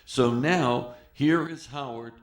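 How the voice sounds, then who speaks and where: Male narrator, measured and unhurried, middle register, noticeably roomy recording. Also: medium pitch. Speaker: narrator introducing archival tape. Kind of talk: retrospective spoken narration.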